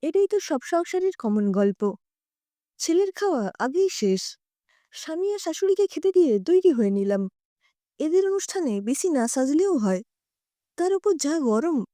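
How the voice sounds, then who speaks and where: A woman narrating a story in an expressive first-person storytelling style. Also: high-pitched, normal speed, long pauses, no room sound, studio quality.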